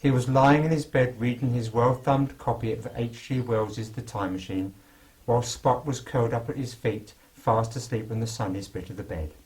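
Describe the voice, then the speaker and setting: A man's voice: medium pitch, moderate pace, a little mellow, rough recording. A man reading a children's story aloud as a storyteller, with a genteel, whimsical manner.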